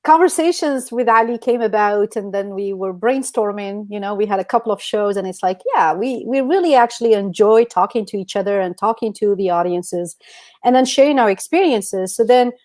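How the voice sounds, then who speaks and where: A middle-aged woman co-hosts a casual podcast in a mid-pitched voice. She learned English later and talks in a chatty, expressive storytelling style. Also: a little fast. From Algeria. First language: not English. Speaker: podcast co-host.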